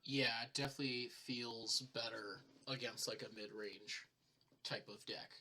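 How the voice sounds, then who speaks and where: A man's voice: mid-range voice, fairly even intonation, measured pace, sharp tone, rough recording. A man chatting casually about card game strategy as the co-host of a channel. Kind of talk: casual deck discussion.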